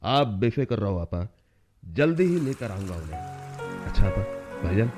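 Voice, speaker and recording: A man's voice: fairly low pitch, big pitch swings, normal speed, a little mellow, faint music behind. An actor in a Hindi radio play voicing a polite, modest younger man, a guest who speaks courteously and hesitantly, often repeating himself. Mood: grateful, polite. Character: polite, deferential younger truck-driver guest, eager and modest.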